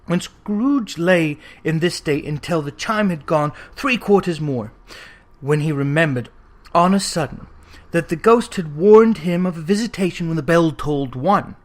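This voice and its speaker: A man narrating a classic Victorian ghost story as an audiobook, in a theatrical, expressive storytelling manner. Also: a little high, measured pace.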